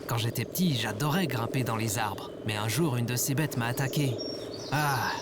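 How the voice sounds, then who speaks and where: A man's voice: medium pitch, moderate pace, natural voice. A man playing a lazy, petty, self-proclaimed ruler of Earth in a sci-fi comedy audio drama, pompous, whiny and bossy. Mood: grumbling. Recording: music under the voice.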